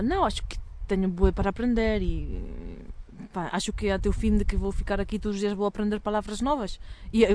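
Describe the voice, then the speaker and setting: A woman's voice: medium pitch, a little mellow, clean tone, no echo. Foreign lady chatting away outside, laughs a lot, dry close sound. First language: not Portuguese. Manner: laughs often, chatty, self-deprecating. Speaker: guest. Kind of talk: casual outdoor chat. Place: outdoors by a windmill.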